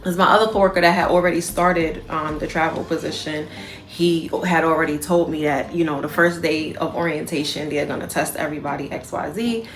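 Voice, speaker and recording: A woman's voice: low-pitched, steady tone, brisk. A woman travel nurse vlogging from a hotel room, talking in a rambling, chatty way full of fillers. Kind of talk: casual vlog diary. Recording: music playing under the voice.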